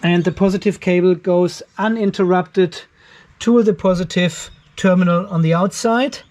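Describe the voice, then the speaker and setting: A man with a notably high-pitched voice talks through a hands-on inspection of a device. He muses aloud, curious and relaxed, explaining each thing he finds as he goes. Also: normal speed. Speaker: channel host reviewing a home battery.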